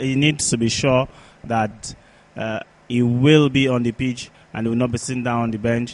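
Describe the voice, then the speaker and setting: Man, sports co-host, mid-range voice, halting delivery, repeated words, news round-up. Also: medium pitch, darkish tone.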